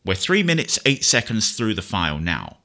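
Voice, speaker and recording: A man presenting a casual software tutorial in a conversational, explanatory way. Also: on the low side, sing-song, moderate pace. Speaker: presenter demonstrating screen reader software.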